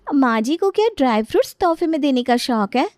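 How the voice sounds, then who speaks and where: A suspicious young bride acted by a woman in an audio story, outspoken and insistent. Her voice is high, recorded dry and close. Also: big pitch swings, a little fast, darkish tone, no room sound. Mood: curious.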